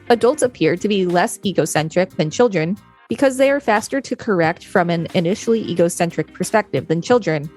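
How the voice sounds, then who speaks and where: A woman's voice: on the low side, darkish tone. A woman co-hosting a podcast, narrating an encyclopedia article read straight from the text.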